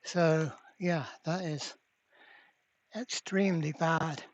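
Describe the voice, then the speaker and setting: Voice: mid-range voice, steady tone, slow pace, dry recording, highs cut off. A person narrating a hands-on repair at a workbench in a casual way, muttering to themselves. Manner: mutters to self, mild swearing. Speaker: electronics repairer presenting a workbench video. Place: indoor workbench.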